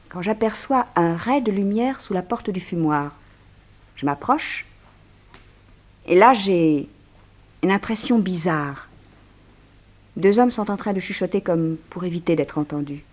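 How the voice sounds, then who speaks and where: An actress in a 1960s French radio drama playing a poised, curious society journalist who speaks in an articulate, lively way. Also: female voice, lowish voice, lightly acted. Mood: earnest, narrating.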